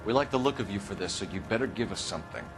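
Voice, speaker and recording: An American actor playing a stern, driven homicide detective in a video game, questioning in a clipped, formal, probing way. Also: male voice, lowish voice, lively intonation, rapid-fire, music in the background. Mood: accusing, hard. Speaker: police detective. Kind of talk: video game dramatic acting, interrogation.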